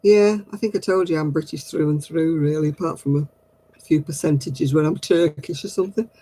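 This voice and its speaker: Husky guy rambling on a craft stream, bit higher voice, giggly chat. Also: fairly quick, dark tone, no echo, rough recording. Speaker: craft livestream host. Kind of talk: casual chat answering viewer comments.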